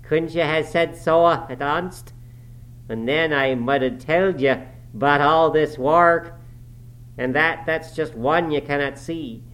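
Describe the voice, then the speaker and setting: A man voicing a grumbling, pious old manservant in broad Yorkshire dialect, sneering and scolding with drawn-out words. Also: a little high, fairly bright tone, voice pushed up, poor audio. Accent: broad Yorkshire dialect, put on.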